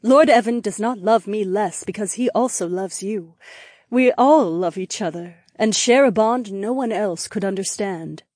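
A woman's voice: medium pitch, normal speed, a little mellow, no echo, studio quality, lightly acted. Bubbly, affectionate princess played by a woman. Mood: gentle reassurance. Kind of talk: fantasy audiobook dialogue acting.

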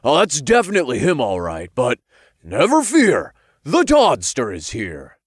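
A man's voice: mid-range voice, huge pitch swings, a little slow, slightly rough, no echo, studio quality, pitched above the performer's own voice, heavily acted. A man voicing a brash, theatrical, self-important imp in an audiobook story. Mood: cocky. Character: cocky, slangy male imp, self-important sidekick.

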